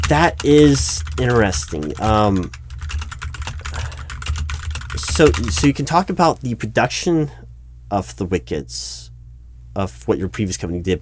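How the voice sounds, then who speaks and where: A man with a fairly low voice plays an awkward recruiting manager in an ASMR-style interview roleplay. He talks at full voice rather than whispering. His delivery is hesitant and stammering, politely skeptical as he questions a candidate. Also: a little hiss or hum. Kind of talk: one-sided interview roleplay, ASMR spoken out loud. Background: computer keyboard typing.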